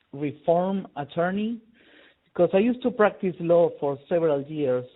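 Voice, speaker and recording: A middle-aged man from Peru speaks as a guest. He explains things casually and hesitantly, with many fillers and repeated words. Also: on the high side. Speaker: guest expert on conflict resolution. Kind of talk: phone interview, casual explanation. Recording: tinny phone line.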